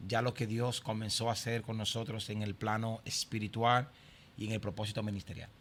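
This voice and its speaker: A man's voice: lowish voice, little pitch movement, a little fast, a bit bright, slight background noise. A middle-aged man from Santo Domingo with a Dominican accent, speaking conversationally as a pastor and podcast guest sharing his personal story. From Santo Domingo, Dominican Republic.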